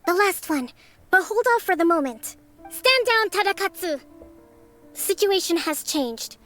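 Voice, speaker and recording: An actress voicing a calm young woman strategist who speaks in a polite, measured, diplomatic way. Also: very high voice, soft background music, heavily acted. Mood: composed.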